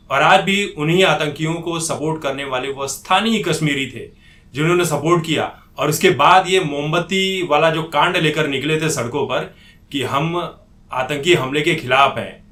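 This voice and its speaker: A man's voice: fairly high pitch, fairly bright tone. A man giving an opinionated political commentary monologue in an indignant, accusatory manner. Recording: echoey room.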